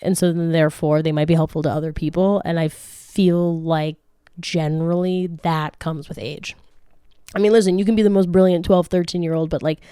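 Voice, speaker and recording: A woman's voice: low voice, steady tone, brisk, warm tone, clean tone, dry recording, studio quality. A woman in her late twenties hosting a casual podcast, answering listener questions in a frank, chatty way with frequent swearing, laughs and restarts. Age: late 20s. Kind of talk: casual chat.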